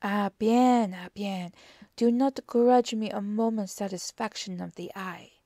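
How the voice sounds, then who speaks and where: Storybook male detective, played by a woman: courteous, exacting, self-assured, unhurried delivery with some creak. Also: medium pitch, mellow, no echo, studio quality, lightly acted. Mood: admiring. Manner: deliberate, formal, exclamatory phrasing. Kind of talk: audiobook character dialogue.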